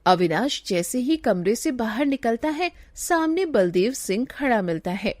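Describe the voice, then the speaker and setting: A woman's voice: a little high, animated, measured pace, a little mellow, dry recording. A woman giving scripted narration of a story as its narrator.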